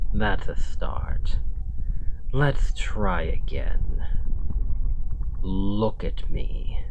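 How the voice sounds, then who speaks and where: A brooding Demon King, voiced by a man for an ASMR fantasy roleplay, speaks in a fairly low register at an extremely slow pace. He is a possessive villain with remorse in him, halting and theatrical as he addresses the listener. A clear layer of background noise sits under the voice.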